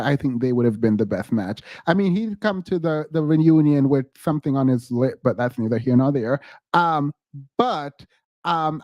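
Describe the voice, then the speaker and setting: A man's voice: a little high, a little mellow, no room sound, highs cut off. A man hosting a casual chat, rambling with fillers and reading viewer comments. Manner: rambling with many fillers, laughs easily. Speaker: podcast host reading comments.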